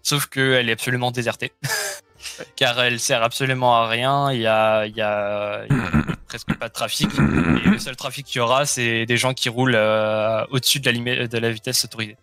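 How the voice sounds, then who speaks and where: A man's voice: mid-range voice, steady tone, moderate pace, pushed and bright, clear, resonant tone, dry recording, soft background music. A man taking part as a guest in a casual chat, hesitant and hunting for words as he describes things. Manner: hesitant, lots of "euh" and restarts.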